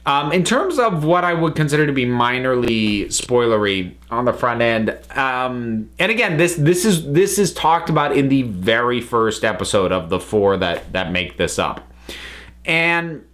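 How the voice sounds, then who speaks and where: An American man giving a casual, opinionated review as a vlog host, thinking aloud in halting phrases with emphatic stresses. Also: mid-range voice, animated, fairly bright tone.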